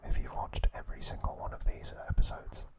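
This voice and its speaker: A man hosting an ASMR video, giving a whispered recap with playful asides. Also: fairly quick. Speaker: ASMR channel host.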